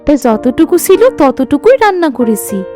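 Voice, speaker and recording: A woman's voice: high-pitched, very animated, moderate pace, over music. A woman voicing a sly, gluttonous young daughter-in-law in a cartoon, with an exaggerated, theatrical manner. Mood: wailing complaint. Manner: exaggerated, whining, gleeful. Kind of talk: Bengali cartoon voice acting.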